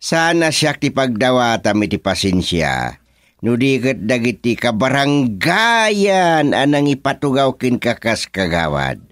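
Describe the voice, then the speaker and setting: A man's voice: medium pitch, big pitch swings, unhurried, fairly bright tone, no echo, studio quality. A man playing a stern village chairman, official and interrogating, in a rural radio comedy-drama. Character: stern village chairman questioning an absent councilor.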